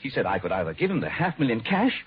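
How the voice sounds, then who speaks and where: Anxious, precise office executive. Exasperated, extremely animated pitch, old-time radio show with dull sound. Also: male voice, fast. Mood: exasperated, grave. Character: fussy, anxious male insurance company manager. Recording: dull vintage radio recording.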